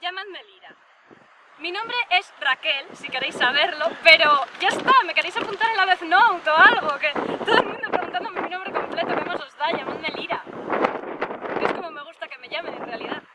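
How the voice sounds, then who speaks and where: A woman's voice: extremely high pitch, normal speed. A woman from Spain, chatting casually and playfully as she is interviewed outdoors. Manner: informal, playful, joking. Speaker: gaming video creator being interviewed. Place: outdoors by the sea, windy. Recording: wind-battered outdoor recording, distant mic.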